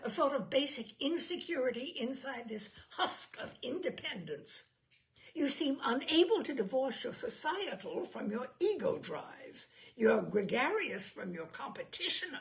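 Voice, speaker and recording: A woman's voice: high-pitched, sing-song, a little slow, gravelly, voice pushed up, full character voice. A woman storyteller playing a self-assured, pompous woman who lectures her partner analytically in a humorous story. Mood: critical, earnest. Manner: pompous, psychobabbling.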